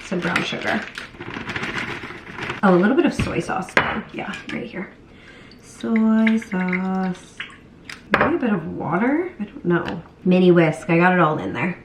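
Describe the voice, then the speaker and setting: A woman's voice: on the low side, a little slow, pauses often, very noisy. A young woman with a cold, chatting candidly to camera in a casual vlog, full of fillers. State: has a cold. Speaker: vlogger. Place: home, grocery store and car.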